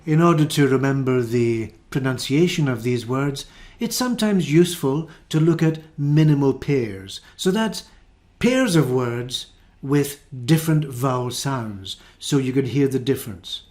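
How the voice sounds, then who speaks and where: Slow, careful Scottish teacher. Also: male voice, mid-range voice, expressive, darkish tone. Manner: slow, careful, drawn-out model words. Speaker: English teacher. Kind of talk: pronunciation lesson.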